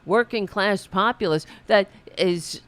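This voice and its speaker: A man's voice: sits high, slow pace, fairly bright tone, smooth. A man hosting a podcast, delivering an impassioned political commentary monologue with many restarts. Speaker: podcast host.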